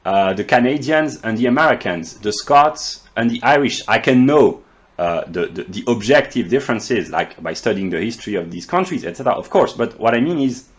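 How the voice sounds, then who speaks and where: A man's voice: medium pitch, animated. A man from France with a French accent, speaking English as a second language in an unscripted philosophical monologue, often stammering and repeating words as he searches for terms. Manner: measured, explanatory, professorial. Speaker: philosophy commentator.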